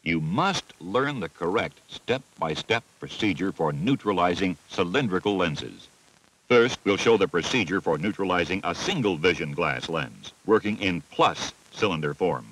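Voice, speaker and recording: Deep-voiced American man narrating instruction. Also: expressive, moderate pace, a bit bright, poor audio. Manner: clear, methodical, instructive. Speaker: narrator of a military training film. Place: voice-over recording.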